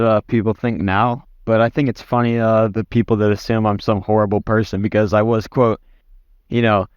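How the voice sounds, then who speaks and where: A man's voice: on the low side, smooth, dry recording, dull audio. A man giving casual interview answers in a blunt, offhand way, swearing freely. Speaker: interview guest, former commander in an online roleplay community.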